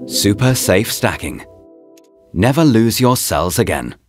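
A man reading a scripted promotional voice-over in short, deliberate, presentational phrases, as a product narrator. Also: lowish voice, expressive. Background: background music.

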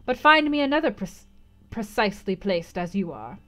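A woman's voice: mid-range voice, sing-song, moderate pace, pushed and bright. A woman doing a harsh old fortune-teller crone. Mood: knowing. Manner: bold, decided, mocking.

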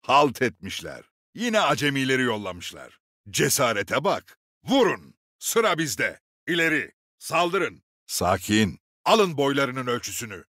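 Game role: gruff male squad leader, seasoned and commanding, very animated delivery, close dry recording. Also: medium pitch, a little slow, no room sound, full character voice. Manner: authoritative, clipped commands with dry swagger. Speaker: game character voice actor. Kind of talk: video game voice lines, short military barks.

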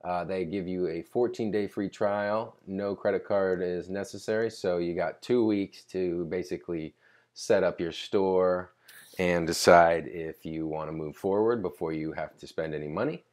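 A man giving a casual, unscripted screen-recording tutorial, explaining conversationally and pausing mid-sentence to think. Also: deep voice, fairly even intonation, darkish tone. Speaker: tutorial presenter.

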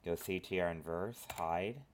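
A man with a cold, sniffling as he talks through a software modeling tutorial at his computer, rambling with lots of filler phrases. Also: deep voice, normal speed, a little hiss or hum. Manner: rambling, filler-heavy instructions. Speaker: tutorial host. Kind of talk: screen-recorded software tutorial.

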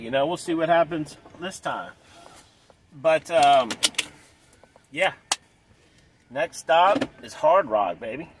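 A man's voice: fairly high pitch, fairly bright tone, a little hiss or hum. Hyped man vlogging from his car. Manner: hyped, repeats himself, clears throat often. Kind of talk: excited casual vlog. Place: inside a parked car. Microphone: dashboard camera close up.

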